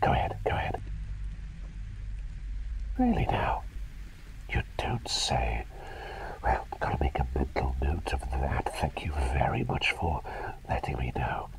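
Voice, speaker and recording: A man voicing an eccentric, doting plague doctor in a breathy, hesitant, soothing whisper for an ASMR roleplay. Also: very deep voice, big pitch swings, measured pace, pauses often, full character voice, poor audio. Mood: curious, fussing. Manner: hesitant, muttering, gently soothing and coaxing.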